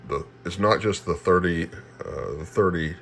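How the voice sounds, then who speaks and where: A very low-voiced man talks through a casual equipment demonstration. He speaks haltingly, thinking aloud as he goes, with clear static and hiss behind him. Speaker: radio hobbyist demonstrating equipment. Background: shortwave radio noise.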